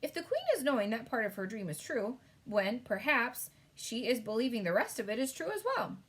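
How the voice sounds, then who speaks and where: A woman's voice: fairly high pitch, sing-song, fairly quick, husky. A woman voicing a big, good-natured male storybook giant who talks in quaint mangled grammar. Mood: musing.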